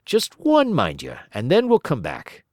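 A man's voice: medium pitch, huge pitch swings, a little slow, darkish tone, no room sound, studio quality. A man voicing a shifty, lazy police officer, wheedling and mocking. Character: shifty lazy male cop, cajoling.

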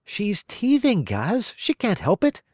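Guy putting on a tired young mum, way higher than him, soft pleading tone. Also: wildly swooping pitch, takes it slowly, dry recording, studio quality, heavily acted. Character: weary young mother soothing baby.

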